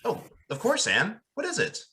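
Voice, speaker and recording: An earnest young pastor played by a man with a highish, bright voice and hugely varied intonation. He is deferential and hesitant, in the emphatic manner of old-time radio drama. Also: huge pitch swings, moderate pace, full character voice. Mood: flustered, pleased.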